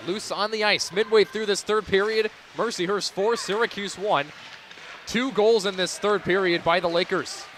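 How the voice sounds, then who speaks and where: A male announcer calls a live game play-by-play. His voice sits a little high, and venue noise is clearly heard behind the commentary. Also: fairly even intonation, normal speed, a bit bright. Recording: clear arena and ballpark noise.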